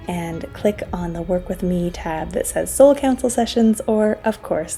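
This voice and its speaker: Gentle woman podcast host. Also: lowish voice. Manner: soft, gentle scripted intro turning upbeat and chatty. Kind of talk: spiritual podcast interview.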